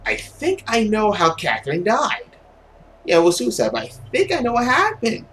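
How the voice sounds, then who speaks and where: Animated, theatrical visual novel narrator. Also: mid-range voice, normal speed, slight background noise. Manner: theatrical, suspenseful storytelling. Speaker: video game playthrough narrator. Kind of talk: dramatic reading of a visual novel.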